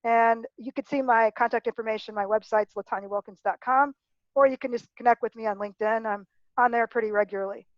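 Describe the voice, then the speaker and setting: A woman's voice: fairly high pitch, fairly even intonation, fast talker, no room sound. A woman introducing herself as a coach on a panel over a video call, upbeat and friendly. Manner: upbeat, friendly, laughs easily. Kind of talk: casual self-introduction. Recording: dull lo-fi video call audio.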